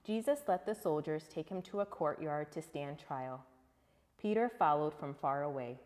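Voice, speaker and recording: A woman reading a story aloud to children, expressive and emphatic, putting questions to her listeners. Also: sits low, moderate pace, big-room sound.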